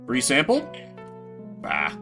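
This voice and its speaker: A man's voice: mid-range voice, huge pitch swings, slow pace, music in the background. A man giving casual, wry, joking commentary on a game he plays, reading its text aloud as he goes. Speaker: video game streamer.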